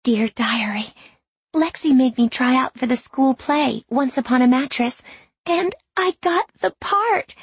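A woman voicing an earnest teenage high school girl confiding in her diary in an audiobook, self-mocking and candid. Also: a little slow, husky, full character voice. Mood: chatty, confiding. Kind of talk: audiobook reading of diary entries.

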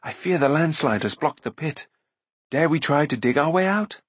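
A man's voice: medium pitch, animated, normal speed, husky, dry recording. A man voicing an earnest, caring young hero in an audiobook, with a concerned manner. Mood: anxious, resolute.

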